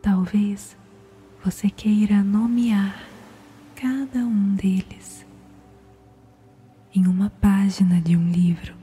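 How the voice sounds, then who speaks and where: A woman with a Brazilian accent softly whispers a guided sleep meditation in a slow, soothing, gentle manner. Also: medium pitch, fairly even intonation. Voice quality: breathy, whispery. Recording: music behind the voice. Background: meditation music.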